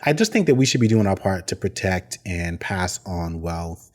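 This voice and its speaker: A man co-hosting a personal finance podcast, talking in a relaxed, conversational way with frequent fillers and restarts. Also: sits low, moderate pace. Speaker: podcast co-host. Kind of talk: casual podcast chat.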